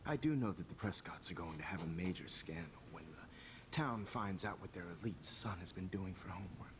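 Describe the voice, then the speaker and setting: An actor playing a smug, menacing teacher and photographer villain in a video game, with a calm, condescending, gloating delivery. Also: male voice, low-pitched, moderate pace, background noise, lightly acted. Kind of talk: dramatic video game acting.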